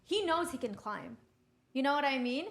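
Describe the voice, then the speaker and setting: Chatty high-voiced young woman, echoey room. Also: lively intonation, normal speed. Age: 20s. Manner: chatty, thinks aloud. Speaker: podcast co-host. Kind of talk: casual chat.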